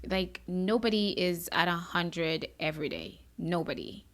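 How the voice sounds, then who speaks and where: A woman's voice: fairly low pitch, unhurried. A woman from Haiti hosting a podcast, talking candidly in a chatty, bubbly, conversational way. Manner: animated, chatty, self-described bubbly. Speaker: podcast host.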